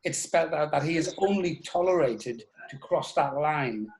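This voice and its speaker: Male interviewee, a touch high in pitch. Reflective, searching for words, repeats for emphasis. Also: lively intonation, moderate pace, gravelly, noisy background. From North East England. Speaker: interview guest, writer.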